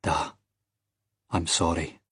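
A man voicing a guarded, wry young Scottish man in an audiobook, quiet, restrained and terse. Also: sits low, normal speed, husky, dry recording, studio quality. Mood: anguished.

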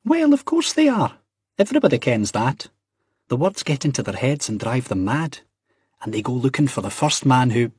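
A man's voice: mid-range voice, very animated, normal speed, darkish tone, husky, dry recording, studio quality, pitched above the performer's own voice. A man voicing an earnest, cheeky young Scottish man in an audiobook, blunt and insistent. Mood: cheeky, curious.

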